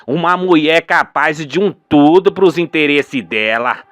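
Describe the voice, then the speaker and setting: A man's voice: a little high, lively intonation, a little slow, a bit bright, no room sound. A man voicing an older rural father, indignant and vengeful, with folksy, emphatic speech. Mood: scornful, determined.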